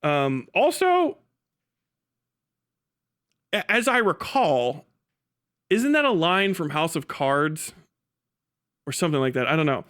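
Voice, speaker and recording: A male livestream commentator with a high, sharp voice, talking casually and swinging widely in pitch. He reads aloud, then breaks off to riff in disbelief, swearing freely. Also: takes it slowly, pauses often. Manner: incredulous, foul-mouthed, animated; acts out mocking imagined dialogue. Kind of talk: casual reaction commentary, reading an article aloud and riffing.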